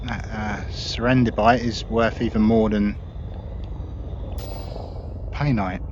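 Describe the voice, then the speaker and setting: A man with a relaxed manner, commenting on his own gameplay and giving voice commands. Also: fairly low pitch, slow, dull audio. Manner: relaxed, talks to himself and gives voice commands. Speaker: gamer giving a demo. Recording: noisy game audio behind.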